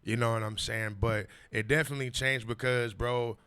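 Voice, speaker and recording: Bright, crisp-voiced man in his forties. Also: mid-range voice, steady tone, normal speed. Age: 40s. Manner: emphatic, repetitive street slang, frequent "you know what I mean". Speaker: podcast guest. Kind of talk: casual storytelling interview.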